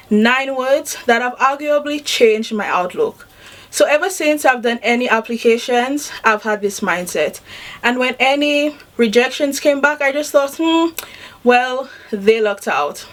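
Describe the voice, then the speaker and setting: Young woman speaker with fairly high voice. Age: 20s. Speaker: public speaker. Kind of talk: prepared inspirational talk.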